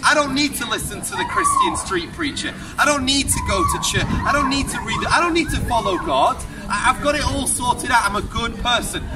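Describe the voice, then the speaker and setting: A young man delivers an open-air sermon in a high, carrying voice, firing rhetorical questions at passers-by. He is caught from a distance by a camera on a tripod. Loud street noise and traffic fill the background. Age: young adult. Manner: loud, emphatic, projecting to passers-by; asks the crowd repeated rhetorical questions. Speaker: street preacher. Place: outdoor town square, busy pedestrian street. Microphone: far camera on a tripod. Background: loud street ambience and passing traffic.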